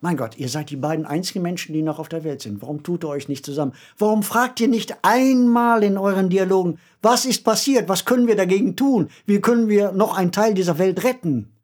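A man who is an author, talking reflectively in a radio discussion and growing animated when he makes a point. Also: high voice, brisk, few pauses.

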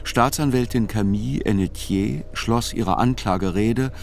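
An actor as a sober chronicler, narrating a radio drama in reflective, deliberate literary phrases. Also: male voice, on the low side, gravelly, darkish tone, a little hiss or hum. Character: sober male chronicler narrating a criminal trial.

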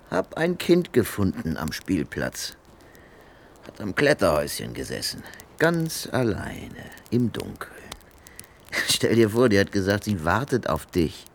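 A sly, greedy drifter in a radio crime play, with a creaky voice that swoops widely. He takes his time, crooning and muttering with scheming glee over faint background noise. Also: lowish voice, wildly swooping pitch, exaggerated voice. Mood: gleeful, boastful. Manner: slow, crooning, swinging into muttering and chuckles. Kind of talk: German radio crime drama acting.

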